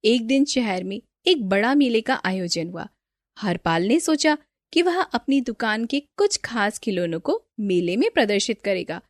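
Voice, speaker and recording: A woman's voice: medium pitch, big pitch swings, unhurried, dry recording, clean studio sound. A woman storyteller reading a scripted children's moral story as narrator.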